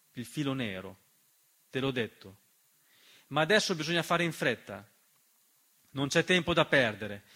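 A man performing a dramatic political theatre monologue in an emphatic, rhetorical manner with deliberate repetition. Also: fairly high pitch, a bit bright. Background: piano accompaniment.

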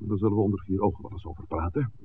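An actor playing an authoritative village priest in a 1960s radio drama, speaking in a measured, formal, admonishing way. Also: male voice, fairly low pitch, slightly rough. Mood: stern. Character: authoritative village priest, composed, stern peacemaker. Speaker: pastor. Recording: dull, noisy 1960s radio recording.

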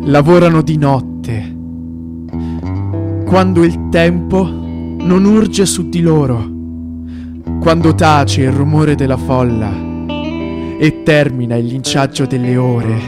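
A man giving a dramatic poetry reading in a theatrical way, with pauses between phrases. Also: a little high. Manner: slow, theatrical delivery with pauses. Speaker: stage performer, voice and reading. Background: guitar, piano and synth music.

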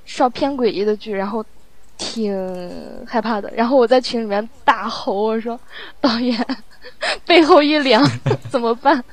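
A woman with a fairly high voice talks as a guest in a casual interview. She is a sound editor and tells things in an animated, rambling storytelling way. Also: low-quality recording. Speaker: audio drama post-production sound editor, guest.

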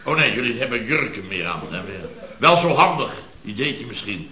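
Pompous whiny rich boss, old radio comedy, sharp voice swooping about, boxy roomy sound. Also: male voice, medium pitch, very animated, normal speed. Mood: smug, jovial. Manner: pompous, grumbling, emphatic, repeats himself. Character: blustery, self-pitying, boastful rich building contractor boss. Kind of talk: 1970s radio comedy acting. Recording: dull, roomy vintage radio recording.

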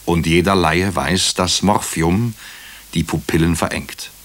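An actor playing a sober doctor who narrates a crime story in a radio drama, in a measured, literary way. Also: male voice, low voice, fairly even intonation, fairly bright tone. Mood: sober, observant.

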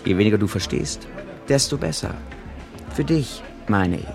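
A man's voice: on the low side, animated, normal speed, vocal fry, loud music behind the voice. An actor playing a shady, controlling man who presses people insistently, in a naturalistic radio drama. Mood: controlled pressure. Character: shady, pressuring man offering a dubious favour.

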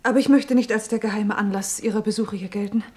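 An actress playing a cool, self-possessed young woman in a German radio play, husky and formally aloof. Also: medium pitch, steady tone, fast talker, darkish tone, close to an everyday voice. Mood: cool, rebuffing. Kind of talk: naturalistic German radio drama acting.

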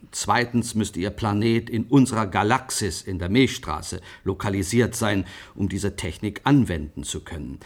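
An actor playing an insistent, dismissive scientist in an emphatic, lecturing radio drama style. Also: male voice, on the low side, close to an everyday voice. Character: insistent, dismissive male scientist professor in a future. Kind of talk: German radio drama acting.

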